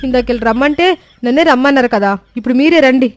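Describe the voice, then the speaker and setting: A woman's voice: high-pitched, fast, a little hiss or hum, close to an everyday voice. A woman voicing a clever young daughter-in-law in a cartoon story, respectful yet teasing. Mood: sly, teasing. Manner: respectful but cheeky.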